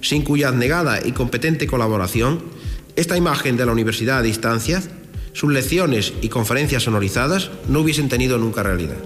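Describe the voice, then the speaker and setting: A man's voice: medium pitch, animated, brisk, big-room sound, faint music behind. A man giving a solemn, formal speech in an archival recording.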